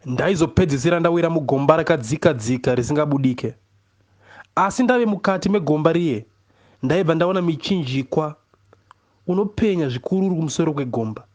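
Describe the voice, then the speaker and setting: A man with a Zimbabwean accent narrates literary prose. His voice sits somewhat higher than most men's. The delivery is that of a poet reading a book aloud. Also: animated, fairly quick, a little mellow. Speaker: audiobook reader, poet.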